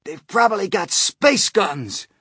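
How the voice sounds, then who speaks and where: A man voicing an excitable, alarmed police chief in a storybook, blustering and shouting. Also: high-pitched, slow, slightly rough, no room sound, studio quality, pitched above the performer's own voice, full character voice.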